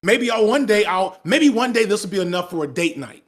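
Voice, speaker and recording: A man in his thirties, a former teacher, talking over a headset on a video call in a reflective, fast, self-interrupting way. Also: high voice, expressive. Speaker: guest, video essayist. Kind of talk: casual video call chat. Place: room at home indoors.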